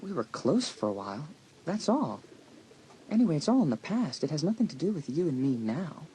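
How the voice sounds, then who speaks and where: A man's voice: a little high, sing-song, brisk, mellow. An actor playing a confident, teasing, sporty teenage boy with a romantic streak in a dramatised audiobook. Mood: defensive. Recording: audiobook tape with faint noise.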